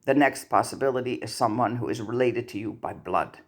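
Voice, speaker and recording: A narrator voicing a stern male goblin account manager in a storybook, formal and curt in a monotone manner. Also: medium pitch, fairly quick, creaky, husky.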